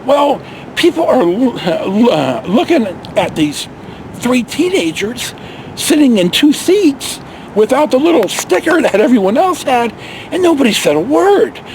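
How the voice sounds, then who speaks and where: An older American man with a high voice that swings widely in pitch tells stories casually and folksily, wandering off on asides. He is recorded outdoors, with faint ambient noise and vehicles behind him. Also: big pitch swings, a little slow, poor audio. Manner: folksy, digressive, mild swearing. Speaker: vlog host telling personal stories. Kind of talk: casual rambling storytelling. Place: outdoors in a park.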